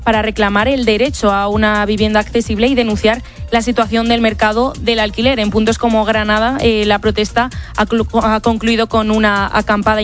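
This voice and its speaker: Even-toned Spanish female newsreader. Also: fairly high pitch. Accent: Spanish (Spain). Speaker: radio news presenter. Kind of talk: live news bulletin.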